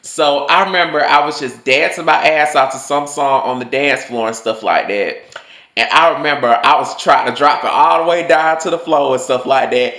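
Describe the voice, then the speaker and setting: A young man telling personal stories in a loose, chatty way, his voice swinging higher and lower as he talks. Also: moderate pace, sharp tone, roomy sound. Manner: chatty, loose, full of "like" and swearing. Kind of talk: casual storytelling monologue.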